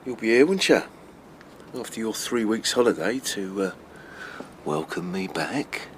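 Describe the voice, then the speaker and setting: A gruff, domineering working-class English husband in an audio drama. His speech is curt and colloquial, with a widely moving pitch, over faint background noise. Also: expressive, measured pace. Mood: resentful. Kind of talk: radio drama acting.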